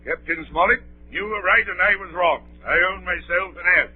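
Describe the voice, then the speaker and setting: An actor playing an earnest, pompous gentleman squire in a 1930s radio play, speaking formally. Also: high-pitched, moderate pace, narrow, tinny audio, faint music behind, lightly acted. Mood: humbly emphatic. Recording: thin, noisy 1930s radio broadcast with hum.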